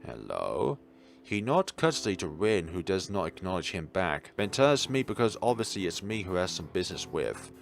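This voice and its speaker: A man narrates a visual novel in a low, very animated voice. He reads dramatically, sometimes tripping on a word and repeating it, with soft music underneath. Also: a little fast, hardly any pauses. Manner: dramatic reading with stumbles and repeated words. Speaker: let's player reading a visual novel aloud. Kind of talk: expressive scripted narration.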